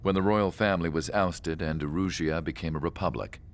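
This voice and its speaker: A man playing a solemn scientist who narrates a video game story in a measured, reflective way. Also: low-pitched, a little hiss or hum. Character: solemn older male research scientist recalling an ace pilot. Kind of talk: scripted dramatic cutscene narration.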